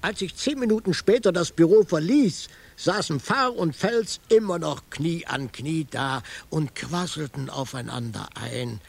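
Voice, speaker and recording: A man's voice: fairly high pitch, unhurried, creaky, hardly any pauses. An actor playing a wry detective narrating his case in a hardboiled radio drama, dry and ironic. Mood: wry amused narration.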